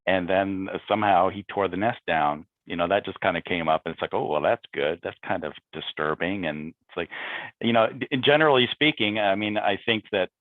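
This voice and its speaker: A man with a low voice discusses his writing in a thoughtful, halting way. He sounds like a guest on a talkback panel, heard through thin, phone-like call audio with little room sound. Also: dry recording. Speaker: playwright on a panel. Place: remote video call. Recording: phone-like narrow-band video call audio.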